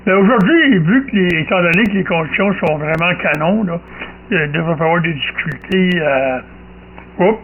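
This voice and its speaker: A man's voice: high voice, lively intonation, normal speed, narrow, tinny audio. A man with a Québécois accent, chatting casually as an amateur radio operator and repeating short affirmations. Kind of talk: casual radio contact chat. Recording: faint radio hiss and ambience.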